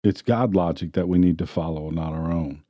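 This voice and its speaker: A man preaching a sermon in a church, retelling scripture stories in a plain, steady way. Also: low voice, normal speed, no echo, highs cut off. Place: church sanctuary.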